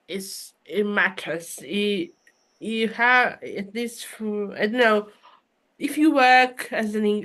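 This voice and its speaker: A woman's voice: medium pitch, bright, forceful tone, poor audio. A woman from Russia with a Russian accent, chatting casually in English as a guest. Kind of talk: casual conversation.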